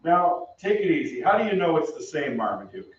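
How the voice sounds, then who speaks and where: A man's voice: fairly high pitch, sing-song, a little fast, slight background noise. A man playing a brash young American soldier in an old-time radio play, with breezy, slangy delivery. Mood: amazed, game. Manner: breezy, slangy, hesitant in bursts. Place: theatre stage. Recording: very echoey stage, distant mic, muffled.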